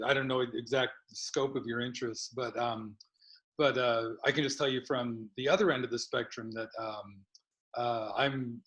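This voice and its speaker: A man's voice: mid-range voice, fairly bright tone, poor audio. Man panelist, hesitant and self-deprecating, casual delivery. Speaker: panelist, content strategist.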